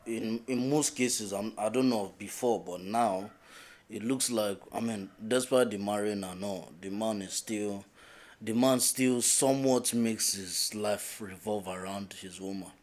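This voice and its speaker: Halting, low-voiced Nigerian man. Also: darkish tone. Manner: hesitant, restarts often. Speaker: podcast co-host. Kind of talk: casual group chat.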